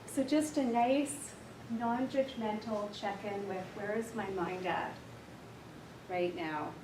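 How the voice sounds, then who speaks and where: A woman counsellor with a quiet voice leading a slow, gentle guided meditation for a workshop audience. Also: medium pitch, background noise. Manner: soft, slow, gentle and unhurried. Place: large echoey room with an audience. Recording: echoey room recorded from far off.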